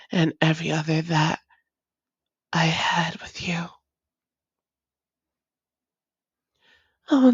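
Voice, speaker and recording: A woman's voice: fairly low pitch, slightly rough, dry recording, dull audio, exaggerated voice. A woman voicing a doting, long-lost vampire lover in an intimate audio roleplay, speaking tenderly in formal, old-fashioned phrases and often slipping toward a whisper. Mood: yearning, devoted. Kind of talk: intimate romantic audio roleplay, slow and breathy.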